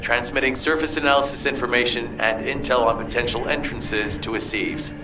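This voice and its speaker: A man's voice: mid-range voice, fairly even intonation, a little fast, lightly acted. A man voicing a composed headquarters technician in a video game, reporting in a clipped, official way. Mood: businesslike. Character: businesslike male headquarters technician on comms, composed. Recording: distant, clear music behind.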